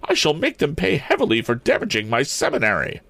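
A bristling old headmistress, pitched far up and swooping with indignation. She is imperious and formal, scolding like the ruler of her school in an old adventure storybook, in a dry recording. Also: wildly swooping pitch, brisk, vocal fry, a bit bright, dry recording, heavily acted. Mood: outraged, commanding. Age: older.

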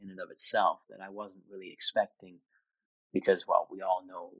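Guy in his 20s from austria, low voice, thinks out loud, dry close sound. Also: little pitch movement, moderate pace, creaky, husky, no room sound, rough recording. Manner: reflective, thoughtful with frequent restarts. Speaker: interview guest. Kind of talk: casual conversation.

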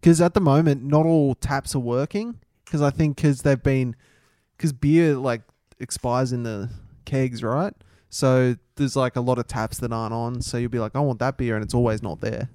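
An Australian man co-hosting a podcast, chatting and joking in a relaxed, talkative way. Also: medium pitch, dry recording, clean studio sound. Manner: chatty, quick to laugh, joking. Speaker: podcast co-host. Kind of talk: casual banter.

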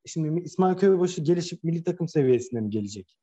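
Mellow-voiced man arguing football, dull recording. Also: fairly high pitch, lively intonation, fast talker, husky. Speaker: football podcast co-host. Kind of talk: casual opinionated football discussion. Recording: dull, lo-fi sound.

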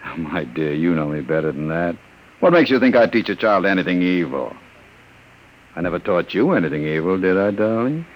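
Deep, domineering crime boss. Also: male voice, expressive, a little fast, muffled recording. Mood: silky. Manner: mock-affectionate, commanding, theatrical. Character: smooth, domineering criminal mastermind, coolly menacing grown man. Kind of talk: 1950s radio drama acting. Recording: noisy vintage radio recording.

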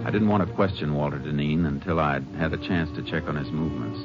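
Deep american texas ranger, terse n methodical, old muffled radio show w music. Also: male voice, fast talker. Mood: terse, matter-of-fact. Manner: terse, dry, matter-of-fact. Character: laconic, methodical Texas Ranger investigator and narrator. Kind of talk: 1950s radio drama acting and first-person narration. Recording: dull old radio recording, music behind.

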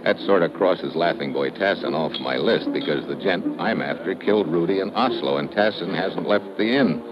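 Actor as deep-voiced hard-boiled radio investigator. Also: male voice, normal speed, close to an everyday voice. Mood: terse narration. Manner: clipped, dry, hard-boiled. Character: tough wisecracking male American investigator narrating his case. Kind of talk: 1950s radio drama acting, hard-boiled narration. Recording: noisy muffled old radio recording, clicks.

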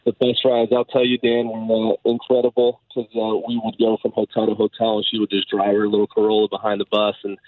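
Earnest deep-voiced man on a phone line. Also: monotone, brisk, hardly any pauses, no echo. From United States, Dominican background. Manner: earnest, many fillers and restarts. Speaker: baseball manager, guest. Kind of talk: phone-in radio interview.

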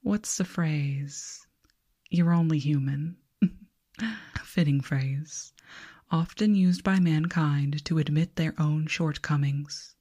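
A woman voicing a calm, politely condescending alien researcher in a slow, soft, breathy whisper for an ASMR roleplay. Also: low voice, exaggerated voice. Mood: musing, condescending. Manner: slow, soothing, formal, clinically detached.

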